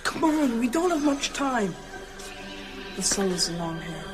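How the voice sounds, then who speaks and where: A woman's voice: a little high, very animated, a little slow, loud music behind the voice. An actress playing an anxious, urgent young woman in a radio drama.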